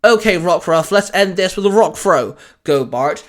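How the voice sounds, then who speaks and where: Brave boy hero, read by a man, earnest and emphatic, lifted pitch, story reading. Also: lively intonation, normal speed, a bit bright. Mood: commanding. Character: eager, brave boy Pokemon trainer.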